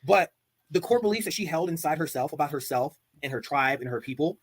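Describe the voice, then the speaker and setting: An excitable man hosting a casual stream chat. His voice sits high and swings all over the place. He talks quickly, with a husky grain. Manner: excitable, playful, puts on quick voices when quoting. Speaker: podcast host.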